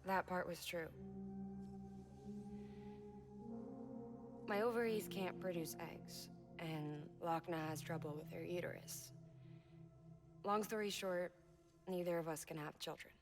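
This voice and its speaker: A grave woman scientist in a video game drama, played by an actress, speaks in a low voice. Her delivery is measured and soft, with halting pauses, and music plays clearly behind her. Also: natural voice. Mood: sorrowful, confiding. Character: grave, soft-spoken adult woman scientist, bereaved mother.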